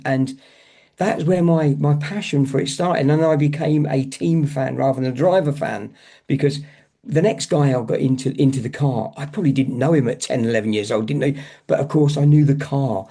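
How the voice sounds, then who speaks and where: Guy in his 50s, eager storyteller, mellow tone, bit higher pitched. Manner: enthusiastic, storytelling, calls host bud. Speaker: podcast guest, motorsport fan. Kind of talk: casual podcast chat.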